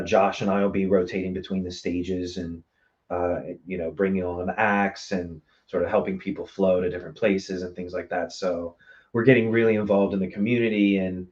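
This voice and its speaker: A man's voice: sits low, a little mellow, lo-fi sound. A man talking casually and at length as a guest in an interview, chatty and enthusiastic about his work. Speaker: interview guest from a small theater company. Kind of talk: casual live interview talk.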